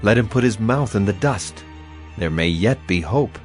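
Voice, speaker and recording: An actor playing a grieving, afflicted prophet in a dramatized scripture reading, with solemn, emphatic and deliberate phrasing. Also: male voice, fairly low pitch, lively intonation, soft background music, lightly acted. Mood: solemn hope. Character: grieving, afflicted male prophet lamenting and praying.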